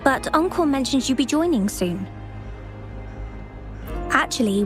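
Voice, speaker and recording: A woman's voice: on the high side, natural voice. A woman voicing an earnest young researcher. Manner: earnest, polite, explanatory. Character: earnest young female field researcher, worried. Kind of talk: video game cutscene voice acting. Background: game music.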